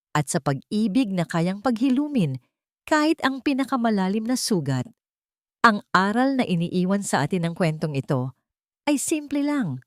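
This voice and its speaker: A woman's voice: lowish voice, a little mellow, no room sound, clean studio sound. A woman narrating a scripted dramatic story as a storyteller and host.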